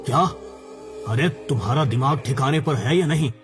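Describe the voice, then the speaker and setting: Man playing old village uncle, medium pitch, suspicious, scolding, agitated, cartoon horror, music behind. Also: moderate pace, natural voice. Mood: scolding, urgent. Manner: accusatory, blunt, agitated. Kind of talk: animated horror story acting. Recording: background music.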